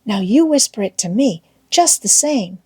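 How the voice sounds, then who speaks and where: A woman's voice: mid-range voice, animated, moderate pace, darkish tone, slightly rough. A woman voicing an eager, boastful young schoolboy in love in an audiobook, with folksy old-fashioned rural American wording and a wheedling manner. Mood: pleading. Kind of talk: audiobook character voice.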